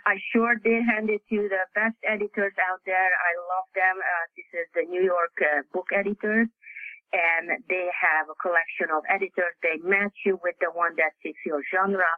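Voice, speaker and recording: A man from Hungary, native Hungarian speaker with a Hungarian accent, answering interview questions haltingly with many fillers and restarts. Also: sits high, steady tone, no echo, narrow, tinny audio. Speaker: author guest.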